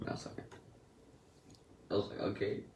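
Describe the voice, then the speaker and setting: A man's voice: moderate pace, whispered, recorded from a distance. Laid-back slangy teen guy, echoey room. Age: teens. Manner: laid-back slangy storytelling, drifting soft and quiet. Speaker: vlogger. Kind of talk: casual storytelling. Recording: echoey room, a bit off-mic, faint noise.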